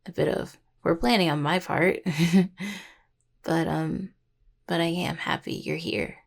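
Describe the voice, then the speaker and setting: A woman playing a grieving, tender young necromancer in an intimate audio roleplay, speaking haltingly and apologetically to a partner. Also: fairly low pitch, steady tone, creaky, heavily acted. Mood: teasing, affectionate.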